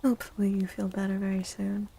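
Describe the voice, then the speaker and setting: Female ASMR livestream host: hushed, mellow, breathy delivery with gentle, soothing manner and frequent whispering. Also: mid-range voice, monotone, brisk. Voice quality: breathy, often dropping into a whisper. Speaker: livestreamer hosting an ASMR stream. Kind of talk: soft-spoken ASMR, chatting with viewers. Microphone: close microphone.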